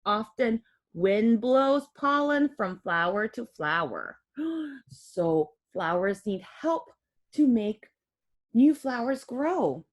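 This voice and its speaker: A woman's voice: a little high, takes it slowly. A woman reading a picture book aloud to young children like a teacher, expressively, with simple explanatory asides. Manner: expressive, child-directed, with audible gasps and exclamations.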